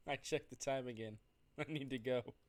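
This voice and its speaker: A male gaming streamer reads visual novel narration out loud at a middling pitch. His delivery is expressive but unpolished, and he sometimes stumbles over a word and corrects himself as he plays. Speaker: gaming streamer doing a playthrough. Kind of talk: reading visual novel narration aloud with off-the-cuff reactions.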